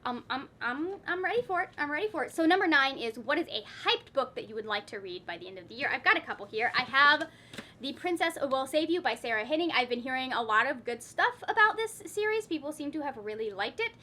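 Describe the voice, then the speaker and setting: High, bright-voiced woman chatting as a book vlogger. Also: animated, quick pace, a little hiss or hum. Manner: self-interrupting, wry asides to herself. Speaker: book vlogger, host of her own channel. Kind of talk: casual, chatty talk to viewers.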